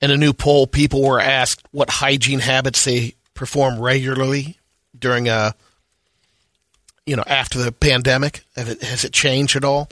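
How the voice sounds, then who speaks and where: A man co-hosting a radio show, reading news items in a steady, matter-of-fact way. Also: medium pitch, normal speed, no room sound, studio quality.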